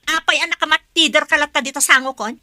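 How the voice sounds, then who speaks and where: A woman's voice: high-pitched, fast, sharp tone, dry recording, clean studio sound. An actress playing an imperious, irritable female boss in a radio drama, scolding haughtily with an Ilocano accent. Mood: angry, scolding.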